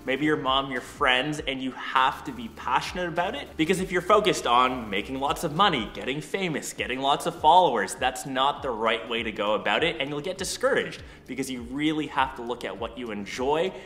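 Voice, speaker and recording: A man's voice: medium pitch, lively intonation, a little fast, hardly any pauses, pushed and bright. A young man hosting a casual vlog, giving a chatty, upbeat tour and answering viewer questions. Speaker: YouTuber. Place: empty condo studio, large bare room. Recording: echoey empty room.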